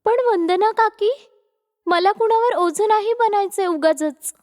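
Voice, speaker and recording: An innocent, meek young daughter-in-law from a cartoon fable, voiced by a woman. Her voice is extremely high, plaintive and sweet. It carries a long hall-like reverb. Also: a little slow, a little mellow. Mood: meek, grateful. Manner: plaintive, pleading. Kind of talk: cartoon moral story voice acting.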